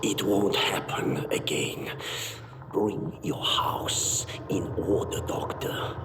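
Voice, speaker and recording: An actor playing a cold, menacing institute overseer with a clipped, threatening delivery in a video game flashback. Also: male voice, sits low, takes it slowly, distant mic, background noise. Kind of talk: dramatic video game cutscene acting. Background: loud cinematic music.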